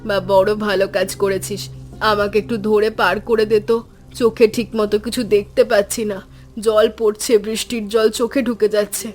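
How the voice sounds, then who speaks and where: A woman voicing a caring, worried village aunt in an animated story, speaking tenderly and in a measured, homely way. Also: mid-range voice, soft background music, close to an everyday voice. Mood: concerned.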